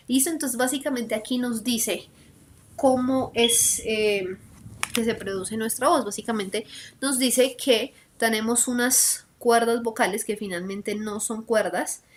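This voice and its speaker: A Colombian woman speaks as a teacher in a fairly high-pitched voice. She explains things informally and conversationally, in a didactic way. She often adds short tag questions to make sure students are following. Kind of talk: explanatory school lesson, informal.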